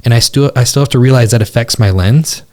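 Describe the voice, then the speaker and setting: A young adult man co-hosting a relationship podcast, talking thoughtfully with halting restarts. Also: mid-range voice, a little mellow. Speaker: podcast co-host. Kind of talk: casual podcast chat about relationships.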